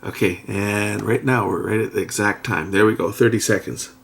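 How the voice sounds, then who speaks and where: Gravelly low-voiced man demoing a gadget. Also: fairly even intonation. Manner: thinking aloud while pressing buttons, unhurried. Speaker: product reviewer. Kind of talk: casual hands-on product demo. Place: indoors at a workbench.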